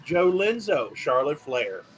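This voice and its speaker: A man hosting a casual podcast chat, animated and excitable when telling a story. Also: fairly high pitch, takes it slowly, soft background music, rough recording. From rural area. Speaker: podcast host.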